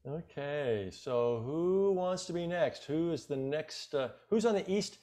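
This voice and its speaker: A man's voice: on the high side, lively intonation, measured pace, mellow. An older man hosting a video call. He talks in a directing, organizing way as he calls on each speaker in turn. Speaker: meeting host.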